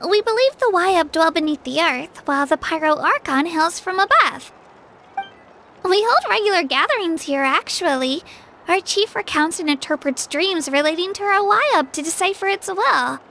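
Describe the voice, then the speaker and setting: Eager, high-pitched young adventurer girl. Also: lively intonation, normal speed, pushed and bright, a little hiss or hum, exaggerated voice. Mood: earnest, explaining. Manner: earnest, cheerful, chatty. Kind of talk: video game voice acting. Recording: music behind the voice.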